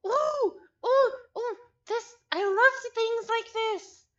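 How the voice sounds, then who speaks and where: A woman livestreaming a visual novel, chatting casually and reading game text aloud in an excitable, halting way, often repeating phrases. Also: extremely high pitch. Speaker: streamer playing a visual novel. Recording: dull lo-fi stream audio.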